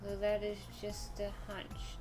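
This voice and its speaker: A woman's voice: medium pitch, completely flat delivery, slow pace. A woman streaming a video game, chatting casually and reading game text aloud. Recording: game music behind the voice. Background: game music.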